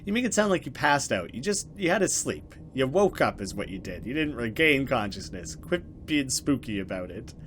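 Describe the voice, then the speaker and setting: A male let's play host with a medium-pitched voice. He reads horror game narration in a dramatic way and comments casually, with faint noise behind him. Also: sing-song, normal speed, hardly any pauses, fairly bright tone.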